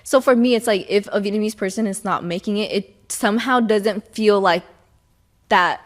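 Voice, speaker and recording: A young woman chef and restaurant owner chatting casually as an interview guest, relaxed and slangy, laughing often. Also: mid-range voice, moderate pace.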